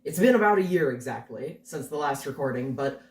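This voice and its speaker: A woman's voice: extremely low pitch, lively intonation, brisk, a little mellow, recorded from a distance. A woman hosting a casual, chatty on-camera interview with a guest. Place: indoor room, sharing the frame with guest. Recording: roomy, off-mic, music underneath. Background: guitar music.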